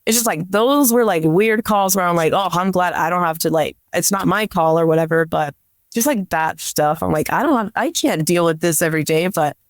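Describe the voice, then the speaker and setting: A woman's voice: on the low side, a little fast, dry recording, clean studio sound. A woman speaking as a podcast guest, telling work anecdotes casually and informally, peppered with "like" and quoted dialogue. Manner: chatty, informal, heavy use of "like", quotes dialogue. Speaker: podcast guest, police dispatcher.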